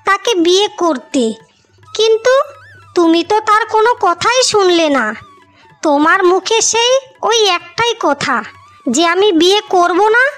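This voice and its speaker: Casting: woman as selfless cartoon sister, extremely high girlish pitch, earnest and emphatic, music underneath. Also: fairly bright tone. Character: devoted, self-sacrificing sisters in a fairy tale. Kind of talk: cartoon fairy-tale voice acting.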